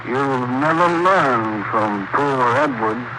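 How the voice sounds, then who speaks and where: A slow, medium-pitched male voice as a stern prison official. He is grave and measured, on a very noisy vintage 1940s radio recording. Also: lively intonation. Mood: grave, resigned. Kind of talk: 1940s radio drama acting.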